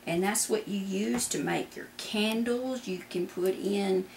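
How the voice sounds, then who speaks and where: A woman explains a hands-on job in a casual, instructive way. Her voice has plenty of fry, and there is faint background noise in the indoor recording. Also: mid-range voice, measured pace, darkish tone, echoey room. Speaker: beekeeper giving instruction. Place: indoor kitchen.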